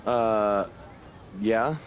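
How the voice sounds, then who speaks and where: A man's voice: on the low side, steady tone, drawn-out words, slight background noise. An actor playing a put-upon young male indie wrestler, hesitant and dryly casual, in video game cutscene dialogue. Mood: puzzled, wary.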